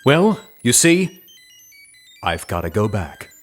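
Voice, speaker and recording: A man's voice: on the low side, big pitch swings, slow, soft background music, exaggerated voice. A man playing a self-important actor turned undercover spy in a comedy audio drama, speaking in a grandiose, earnest way. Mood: earnest, lofty.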